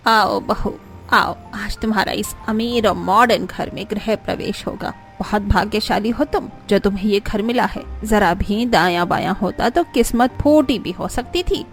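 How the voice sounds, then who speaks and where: A woman's voice: mid-range voice, normal speed, soft background music, close to an everyday voice. A woman playing a rich, snobbish middle-aged mother-in-law in a cartoon story, boastful and haughty. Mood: boastful, proud. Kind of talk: cartoon story dialogue acting.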